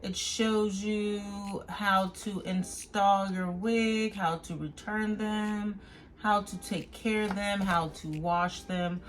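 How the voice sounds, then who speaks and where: A woman's voice: medium pitch, fairly even intonation, takes it slowly, a little hiss or hum. A woman hosting a beauty vlog, talking casually and directly to viewers in an informal, chatty review style. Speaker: beauty vlogger and host.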